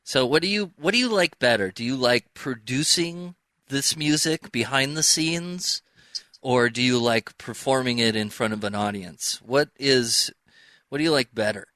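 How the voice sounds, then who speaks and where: Man, interview host, bright forward voice, medium pitch, chatty and informal, dry recording. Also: normal speed, no room sound. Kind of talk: casual chat interviewing.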